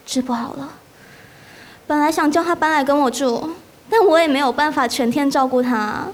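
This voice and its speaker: A woman playing a grown son who gives an earnest monologue about his mother. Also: high-pitched.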